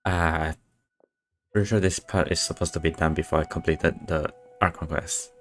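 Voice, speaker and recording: Guy with a really low voice streaming a game, muttering along casually, faint music behind. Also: steady tone, normal speed, fairly bright tone. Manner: muttering to himself, unscripted. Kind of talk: casual live gameplay commentary.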